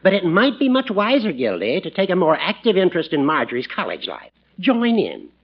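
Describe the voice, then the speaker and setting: A man's voice: high voice, wildly swooping pitch, brisk, breathy edge, heavily acted. A man playing a teasing older judge in 1940s radio comedy style, with a reedy voice and a cackle. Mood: coaxing. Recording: muffled old radio recording.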